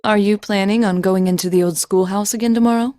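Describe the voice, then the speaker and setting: A woman's voice: medium pitch, steady tone, quick pace, mellow, dry recording, studio quality, close to an everyday voice. Woman voicing a poised, formal young student. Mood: composed. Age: young adult. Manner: formal, composed, courteous. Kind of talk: English anime-style game voice acting.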